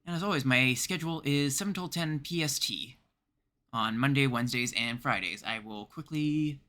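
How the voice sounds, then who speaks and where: A man's voice: mid-range voice, normal speed, sharp tone. A man from Canada chatting casually as he livestreams a game.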